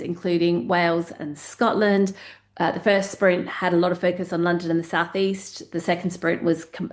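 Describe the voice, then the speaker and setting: A woman who leads a community research project, speaking on a webinar panel in a measured way with frequent fillers. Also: fairly low pitch. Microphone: video call.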